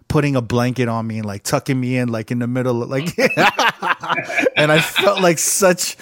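A man hosting a casual chat, telling stories in an animated, slangy and profane way. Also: medium pitch, normal speed, dry recording, studio quality.

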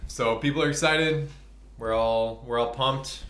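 A man's voice: mid-range voice, a little slow, a bit bright. Informal, enthusiastic man hosting a podcast. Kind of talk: casual podcast chat.

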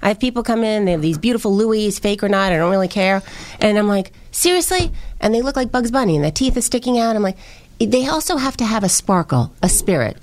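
A woman's voice: mid-range voice, lively intonation, fast, a little mellow. A woman panel guest chatting casually and telling anecdotes in a blunt, playful way.

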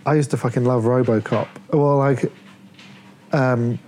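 Mellow-voiced man chatting casually. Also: medium pitch, fairly even intonation, unhurried, slight background noise. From England, born Plymouth, raised Leeds. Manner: rambling, many likes and restarts. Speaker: podcast guest, artist. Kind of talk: casual conversation.

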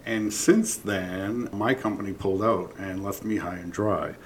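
A man's voice: fairly low pitch, fairly even intonation, a little slow, slight background noise. A man from Massachusetts, a business partner who tells anecdotes in a chatty way while being interviewed. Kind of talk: casual interview storytelling.

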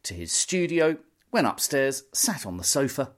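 A man's voice: medium pitch, very animated, normal speed. A man hosting a podcast, asking questions in a conversational music interview.

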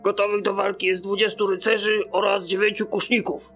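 A man's voice: high voice, flat delivery, fairly quick, gravelly, a bit bright, soft background music. An actor playing a nervous, dutiful ensign in a fantasy audio drama, deferential and hesitant before his officers.

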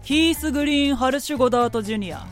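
Woman playing a cool, imperious, high-voiced merchant. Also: very fast, soft background music, natural voice. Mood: stern judging. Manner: formal, imperious, composed. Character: cool, stern, authoritative merchant acting as judge. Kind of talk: radio drama acting.

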